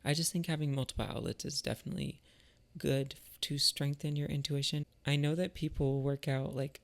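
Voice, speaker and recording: A man talking in a casual, reflective monologue, thoughtful and halting, with a creaky voice. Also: a little high, flat delivery. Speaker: artist and musician vlogger.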